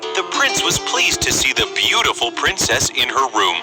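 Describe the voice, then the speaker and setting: Animated male fairy tale storyteller. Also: mid-range voice, moderate pace, poor audio. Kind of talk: scripted fairy tale narration. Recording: music behind the voice. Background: music.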